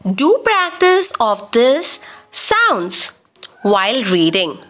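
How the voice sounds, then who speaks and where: Slow, high-pitched woman teaching young children. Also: sing-song, a bit bright. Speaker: children's phonics teacher and presenter.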